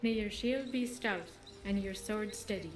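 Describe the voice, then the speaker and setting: A woman's voice: medium pitch, measured pace, soft background music, close to an everyday voice. A woman voicing a proud, earnest young apprentice blacksmith in a fantasy video game, confident and courteous. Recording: roomy echo, faint background noise.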